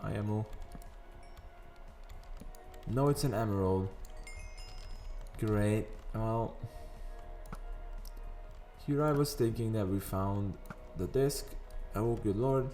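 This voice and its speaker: A man with a somewhat low, raspy morning voice talks casually over a game at his desk. He rambles and drifts into sidetracks as he plays. Soft background music runs quietly under his words. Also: slow pace, pauses often. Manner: chatty, rambling, wanders into sidetracks. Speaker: gaming video host. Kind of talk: casual gameplay commentary. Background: faint background music.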